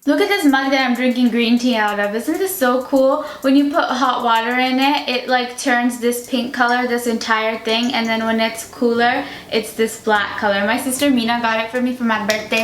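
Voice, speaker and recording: A young woman in her twenties talks casually while doing her makeup, her voice slightly high for a woman. She chats freely and fills her sentences with "like." The room rings a little, and soft music plays underneath. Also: steady tone, normal speed, hardly any pauses. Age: 20s. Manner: chatty, uses "like" often. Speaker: beauty vlogger. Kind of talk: casual makeup tutorial chat. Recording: echoey room with music behind.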